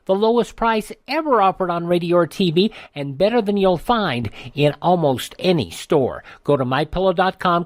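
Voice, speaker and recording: A woman's voice: medium pitch, no room sound, clean studio sound. A woman delivering a scripted advertising read as a radio announcer. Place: dry studio.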